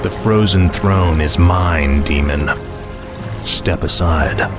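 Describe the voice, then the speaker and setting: A man's voice: deep voice, slow pace. An actor playing a fallen undead death-knight king, giving stern, resolute commands. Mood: determined. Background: orchestral music.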